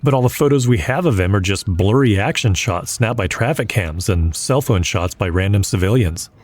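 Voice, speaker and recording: Police officer, man acting: fairly low, dry close sound, matter-of-fact audio drama delivery. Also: lively intonation, normal speed, dry recording. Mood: businesslike.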